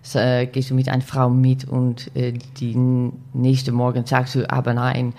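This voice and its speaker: Niederländische Frau, stockendes Deutsch. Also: female voice, extremely low pitch, completely flat delivery, warm tone. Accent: Dutch. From Netherlands. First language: Dutch. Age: 40s. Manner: halting, searching for German words, many fillers, laughs easily. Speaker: podcast guest. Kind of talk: casual interview chat.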